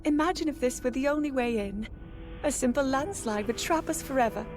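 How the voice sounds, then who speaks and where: A woman's voice: high voice, brisk, over music, natural voice. A woman playing an earnest, polite and modest young princess in a video game cutscene. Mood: matter-of-fact.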